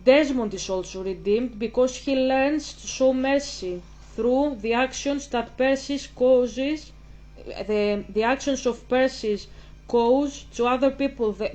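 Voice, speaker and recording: A woman with a Greek accent talking casually to camera, pausing and restarting as she translates her thoughts into English. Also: on the high side, a little mellow, poor audio. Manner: halting, restarts while translating in her head. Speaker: channel host. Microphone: camera mic.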